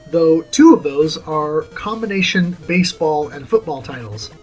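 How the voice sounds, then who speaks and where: A middle-aged American man reading a scripted review as host, with a theatrical, deliberate delivery. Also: fairly high pitch, poor audio. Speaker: host of a retro game review series. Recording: music under the voice. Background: music.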